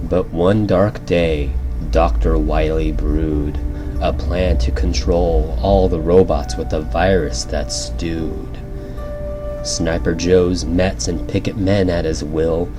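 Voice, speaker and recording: A man narrating a rhyming bedtime storybook as a storyteller, in an ASMR style. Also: low voice. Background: background music.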